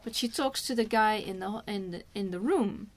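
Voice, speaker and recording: A Dutch woman co-hosting a film review, retelling the story casually and dropping in quick interjections. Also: medium pitch. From Netherlands.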